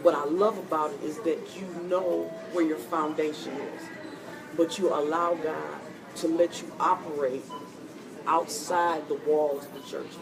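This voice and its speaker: A woman hosting an interview in a coffee shop, animated and preachy, encouraging her guest. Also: low voice, unhurried. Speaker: host. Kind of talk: interview chat. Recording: distant mic in busy cafe. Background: cafe crowd chatter.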